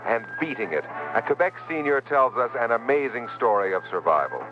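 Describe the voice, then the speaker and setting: Male radio co-host, mid-pitched, scripted teasers over music, narrow phone-like sound. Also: big pitch swings, a little fast. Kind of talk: scripted radio magazine show introduction.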